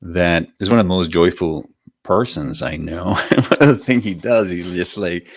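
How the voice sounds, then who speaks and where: Low-voiced man with a Venezuelan accent. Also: normal speed, no echo, studio quality. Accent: Venezuelan Spanish. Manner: informal, gently encouraging, chatty asides to viewers. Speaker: meditation teacher hosting a live broadcast. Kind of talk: casual spiritual talk before a guided meditation.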